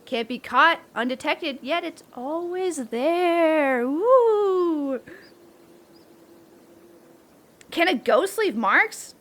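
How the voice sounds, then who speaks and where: A woman playing a bold, playful, prankish young camper in a roleplay audio drama, with an excitable, teasing, slangy way of talking. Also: high voice, takes it slowly, heavily acted. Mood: playfully insistent. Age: young adult.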